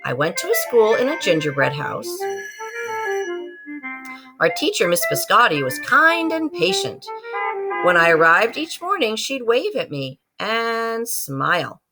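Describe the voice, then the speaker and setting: A woman narrates a children's storybook in a very lively, swooping voice. She acts out the story as she reads, with a musical soundscape clearly playing behind her. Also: mid-range voice, measured pace, loud music behind the voice, poor audio. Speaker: librarian reading a picture book aloud to students.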